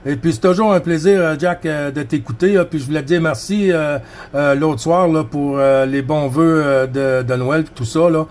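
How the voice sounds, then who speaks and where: A man's voice: fairly high pitch, fairly even intonation, moderate pace, a little mellow. A man with a Quebec French accent chatting casually as a CB radio operator, friendly and rambling. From Quebec, Canada. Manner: friendly, rambling, frequent Quebec filler words.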